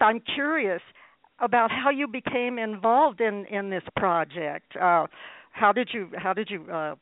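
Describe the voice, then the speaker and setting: A man hosting a radio interview show, enthusiastic and warm-hearted in his praise, often stumbling and restarting his questions. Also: medium pitch, no room sound. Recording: tinny lo-fi line.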